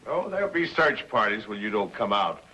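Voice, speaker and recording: A man's voice: on the low side, sing-song, a little fast, sharp tone, husky, full character voice. A man playing a sly outlaw who poses as a folksy trapper in a 1950s television western. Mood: smug. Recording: dull vintage television soundtrack.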